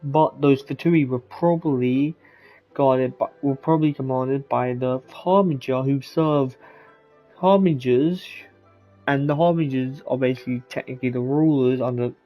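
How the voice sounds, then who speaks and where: A man giving casual gameplay commentary as a streamer, halting and rambling as he repeats himself. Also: mid-range voice, highs cut off, faint music behind. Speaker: game streamer.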